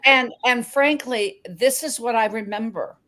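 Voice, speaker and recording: A woman's voice: a little high, measured pace, fairly bright tone. A woman hosting an online talk show, speaking effusively and gushing with praise, often restarting her sentences. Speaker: show host. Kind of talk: casual interview chat.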